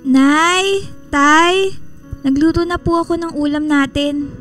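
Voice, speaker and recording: An actress playing a meek, dutiful young daughter in a radio drama, speaking politely and timidly. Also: female voice, high voice, fairly even intonation, takes it slowly, fairly bright tone, faint music behind. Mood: timid, pleading.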